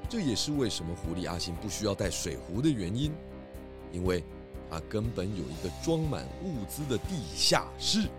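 Smart pig detective, man doing it, lower voice, explains stuff calmly. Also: moderate pace, over music. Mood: confident. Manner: calm, explaining, hesitant fillers when cold. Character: clever confident pig detective. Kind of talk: children's audio drama acting.

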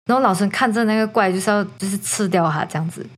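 A woman's voice: mid-range voice, quick pace. A woman with a Malaysian accent tells a story casually and animatedly to friends as a podcast host, slipping in English words. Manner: animated, conversational, mixes English words into Mandarin.

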